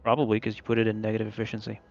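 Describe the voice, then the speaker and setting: A man's voice: on the low side, completely flat delivery, racing, muffled recording. A man chatting casually while helping a friend with a game build, matter-of-fact and advising. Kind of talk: casual off-mic game chat.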